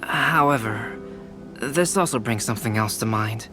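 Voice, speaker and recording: A man playing a thoughtful, courteous gentleman in a video game, speaking politely and deliberately. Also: mid-range voice, over loud music, natural voice. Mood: pondering. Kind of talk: English video game voice acting.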